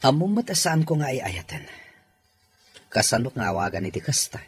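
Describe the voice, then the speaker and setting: A man's voice: medium pitch, sing-song, normal speed, close to an everyday voice. A man with an Ilocano accent acting a reserved, lonely young father in an audio drama. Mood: reserved, teasing.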